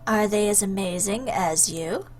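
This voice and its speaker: A woman's voice: mid-range voice, measured pace, faint music behind. A woman giving casual, jokey commentary as she plays a game, reading choices aloud in a playful, theatrical, teasing way.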